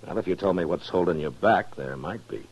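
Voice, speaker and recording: An actor playing a stern, weary frontier town marshal in a 1950s radio western, speaking tersely and firmly. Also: male voice, deep voice, lively intonation, a little fast, darkish tone, slightly rough, full character voice, poor audio. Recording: old radio drama recording.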